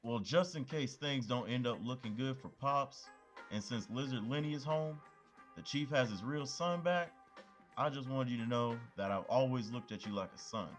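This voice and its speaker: A man's voice: medium pitch, normal speed, soft background music, natural voice. A man playing a cheeky young best friend who loves food and talks in loose, slangy banter. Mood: mock-serious. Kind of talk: amateur audio drama acting.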